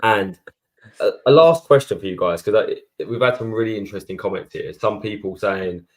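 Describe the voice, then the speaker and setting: A man's voice: lowish voice, normal speed. A man co-hosting a podcast, talking in a chatty, blunt and matey way. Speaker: podcast co-host. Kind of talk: casual chat.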